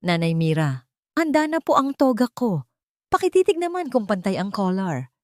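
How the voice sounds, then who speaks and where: A woman's voice: mid-range voice, big pitch swings, moderate pace, a little mellow, dry recording, studio quality, pitched above the performer's own voice, close to an everyday voice. A woman voicing an earnest, polite teenage boy in a spoken audio story. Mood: eager. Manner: polite, respectful address.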